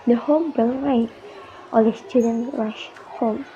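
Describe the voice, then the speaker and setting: Mellow young Indonesian woman narrating, dull recording. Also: a little high, steady tone, measured pace, slight background noise. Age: young adult. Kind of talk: scripted radio drama narration.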